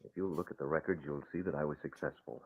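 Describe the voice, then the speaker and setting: A low-voiced, determined young crusader, measured and resolute. He delivers his lines as vintage radio drama on a muffled, narrow old recording. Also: fairly even intonation, breathy edge, full character voice. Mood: earnest, resolute. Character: earnest, determined crusading son, secret vigilante.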